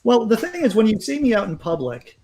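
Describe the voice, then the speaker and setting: A man speaks in a slightly high voice. He rambles through wry jokes at his own expense and restarts his sentences often. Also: very animated, brisk, a little mellow. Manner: wry, rambling self-mockery. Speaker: guest. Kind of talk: casual chat.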